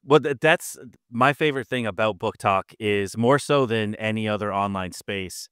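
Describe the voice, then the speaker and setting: A man from Massachusetts hosts a book podcast, swapping stories in a relaxed, chatty way. His voice comes through smooth and clear. The sound is dry and close to the mic. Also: fairly low pitch, normal speed, no echo. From Massachusetts, Nantucket. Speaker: podcast host. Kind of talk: casual podcast conversation.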